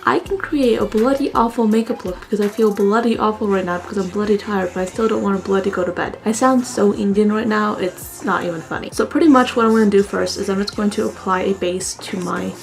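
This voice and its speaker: A young woman talks her viewers through a makeup tutorial in a loose, chatty way, full of fillers. Her voice has a gravelly vocal fry. Soft music plays faintly behind her. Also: medium pitch, brisk, few pauses. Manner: chatty and rambling, many fillers. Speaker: makeup vlogger. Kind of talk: casual makeup tutorial chat.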